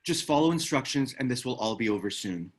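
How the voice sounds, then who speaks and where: A man's voice: medium pitch, animated, fairly quick, close to an everyday voice. A man playing a terse, guarded ex-military operative in a screenplay table read. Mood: terse, commanding.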